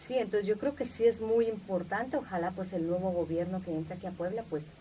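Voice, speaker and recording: A woman's voice: medium pitch, fairly even intonation, a little fast, warm tone. Earnest woman, a bit far from the mic. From Guatemala. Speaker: interview guest, media personality. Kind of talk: conversational radio interview. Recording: distant mic, faint background noise.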